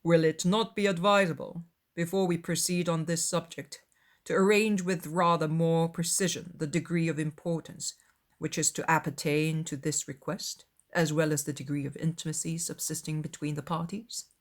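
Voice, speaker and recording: Woman playing a proud, formal, reserved gentleman. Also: low voice, steady tone, lightly acted. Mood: coolly exacting. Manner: measured, formal, exacting. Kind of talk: dramatised audiobook of a classic novel.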